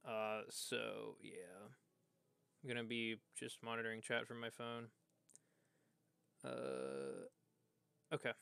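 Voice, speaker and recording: A man livestreaming a video game, casually thinking aloud in a halting way as he plays. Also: lowish voice, vocal fry, pushed and bright, clean tone, dry recording. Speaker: game streamer.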